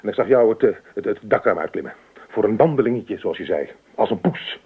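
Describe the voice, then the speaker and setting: An actor playing a jealous, suspicious husband in a radio play, speaking in a sarcastic, nagging, accusatory way. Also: male voice, fairly low pitch, animated, a little fast, breathy edge, narrow, tinny audio. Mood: sardonic, probing. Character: jealous, suspicious husband, mocking, mother-devoted, easily panicked. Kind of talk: radio play acting in dialogue.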